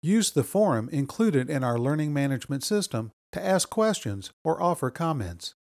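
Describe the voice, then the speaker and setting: A man reading scripted instructional narration as a course instructor, explaining in a measured way. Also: fairly high pitch, very animated.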